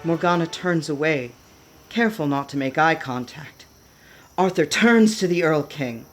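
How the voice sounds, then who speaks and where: A woman's voice: deep voice, lively intonation, measured pace. A woman narrating a radio play from a script, setting out each scene as storyteller. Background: music.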